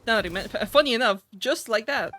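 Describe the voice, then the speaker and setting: A woman's voice: fairly high pitch, animated, quick pace, fairly bright tone. A woman streaming a game, casually reading dialogue aloud and reacting in an irreverent, slangy, sweary way.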